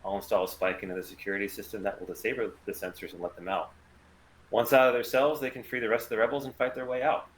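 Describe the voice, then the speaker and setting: A man playing a calm, defiant rogue pilot with a plan in a sci-fi table read. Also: on the low side, quick pace, lightly acted. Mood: cool, assured.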